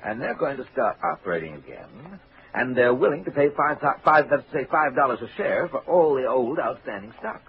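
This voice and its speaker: Man acting persuasive phony colonel, swindler, courtly, lively intonation, 1940s radio drama, phone-like old recording. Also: mid-range voice, brisk, natural voice. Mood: smooth persuasion. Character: smooth-talking male con man posing as colonel.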